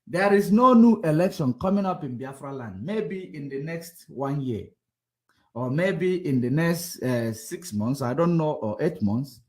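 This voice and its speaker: A man hosting a political livestream, combative and repetitive, swinging between loud outbursts and low muttering. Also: lively intonation, measured pace. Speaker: livestream host. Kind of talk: casual political commentary livestream.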